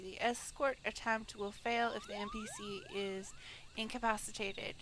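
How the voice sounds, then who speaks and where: A woman's voice: medium pitch, noisy background. A woman live streaming a video game, chatting casually and reading on-screen text aloud.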